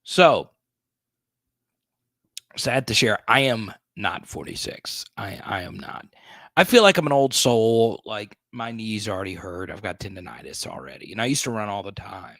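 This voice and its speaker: A man's voice: lowish voice, sing-song, moderate pace, fairly bright tone, no echo. A man in his thirties hosting a sports podcast livestream, chatting casually as he reads viewer comments, laughing often and tripping over restarts. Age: 30s.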